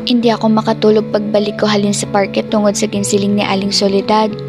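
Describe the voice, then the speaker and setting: A woman's voice: mid-range voice, completely flat delivery, fast, lightly acted. Woman acting young working girl from the province, earnest, filipino accent, radio drama, music behind. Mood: downcast.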